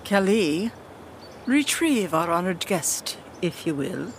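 Low-voiced stern regal mother. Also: sing-song, slight background noise, exaggerated voice. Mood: regal composure. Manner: formal, measured, commanding. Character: stern regal mother, composed commanding queen. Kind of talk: fantasy audio drama acting.

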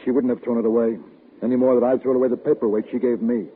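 Guy playing strict fed-up dad in an old 50s radio play, talks fast, thin tinny sound. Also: medium pitch, flat delivery, natural voice. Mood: grave, suspicious. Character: stern, exasperated father who turns grimly suspicious. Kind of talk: 1950s radio drama acting. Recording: tinny, noisy 1950s radio recording.

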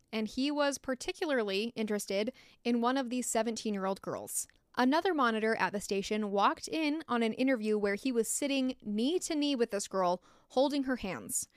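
A woman's voice: fairly high pitch, clean tone, no echo. An American woman hosting a podcast, narrating a true story with blunt, opinionated asides from a close mic. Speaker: podcast host.

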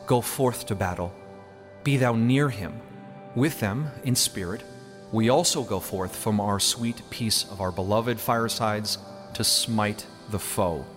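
A man voicing a solemn, fervent messenger of God in a storybook reading, preaching in measured archaic phrases. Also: on the low side, faint music behind, lightly acted. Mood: fervent, bitter. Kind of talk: dramatic storybook narration.

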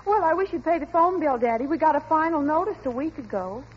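A chirpy young daughter in an old-time radio comedy. The voice is extremely high and lively in swing, heard through a dull recording. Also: female voice, fast talker. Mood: matter-of-fact. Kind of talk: 1940s radio sitcom acting.

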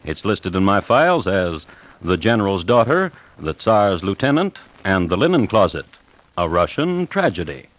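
An actor playing a deadpan, measured host who narrates dryly macabre crime tales on 1950s radio. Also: male voice, low voice. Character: storytelling true-crime host, wry and deliberate. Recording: muffled old radio recording.

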